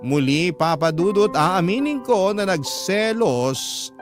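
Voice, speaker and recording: A male radio drama narrator reads a first-person story. His slightly high voice is measured and heartfelt, set over very prominent music. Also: lively intonation. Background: loud background music.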